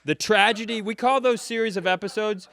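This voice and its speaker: A man co-hosting a live comedy podcast, teasing his partner with quick ribbing. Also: high-pitched, a bit bright. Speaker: comedian co-host.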